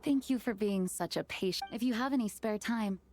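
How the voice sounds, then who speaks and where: A woman's voice: mid-range voice, a little fast, darkish tone, soft background music, natural voice. A woman voicing a considerate, composed young woman in a video game, speaking politely.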